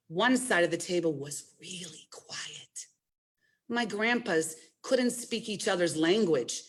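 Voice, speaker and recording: A woman presenting a storytime as a children's librarian, talking directly to young listeners in an encouraging way and reading a picture book aloud. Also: on the low side.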